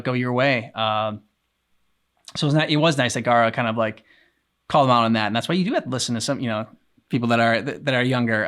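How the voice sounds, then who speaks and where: A man's voice: medium pitch, a bit bright. A young adult man co-hosting a reaction show, talking in a loose, rambling way with many fillers. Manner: rambling, lots of fillers, laid-back. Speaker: reaction channel co-host. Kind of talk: casual reaction chat.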